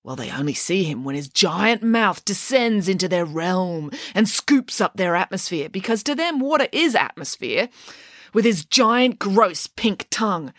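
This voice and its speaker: Scatterbrained mum voiced by a woman, higher and bright, swooping up and down, whimsical, dry close sound. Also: sing-song, unhurried, dry recording. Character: scatterbrained chatty mother, playful and whimsical.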